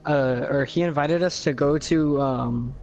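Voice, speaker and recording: A young man chatting casually during a game in a playful, teasing, somewhat halting way. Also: fairly high pitch, a little mellow. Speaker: player chatting in a multiplayer game. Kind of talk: casual chat while gaming. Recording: music behind the voice. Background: music.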